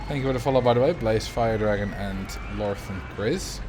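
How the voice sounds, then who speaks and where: A man's voice: lowish voice, a little fast, background noise. Chatty Dutch-accented man streaming. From Netherlands. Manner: chatty, greets viewers, thinks aloud while building. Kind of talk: casual livestream commentary.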